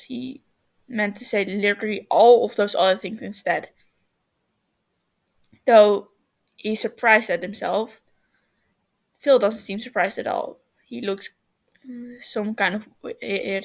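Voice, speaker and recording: A woman narrating a story as an amateur reader, halting a little, with small stumbles and self-corrections. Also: mid-range voice, creaky. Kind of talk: storybook-like narration of fan fiction.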